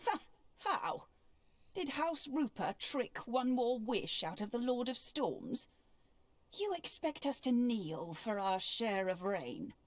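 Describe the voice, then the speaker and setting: An actress playing a mocking female warlord villain in a video game, with a husky voice and a sardonic drawl. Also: on the high side, big pitch swings, slow, no echo, full character voice. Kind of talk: video game cutscene acting.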